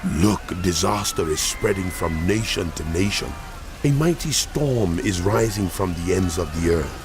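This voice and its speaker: A man playing a wrathful, commanding God in a dramatized scripture reading, speaking solemnly and deliberately. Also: low-pitched. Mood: grave command. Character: wrathful, commanding God of Israel pronouncing judgment. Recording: music behind the voice.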